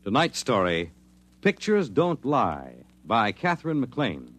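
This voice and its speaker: A man's voice: mid-range voice, wildly swooping pitch, takes it slowly, a bit bright. A man announcing for 1950s radio, with a formal, sonorous delivery. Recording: vintage 1950s radio transcription.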